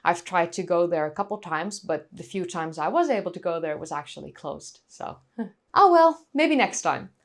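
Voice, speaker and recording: Dutch-accented woman with very lively intonation. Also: on the low side, normal speed. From North Holland, Netherlands. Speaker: history video presenter. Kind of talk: scripted educational narration with casual asides to viewers.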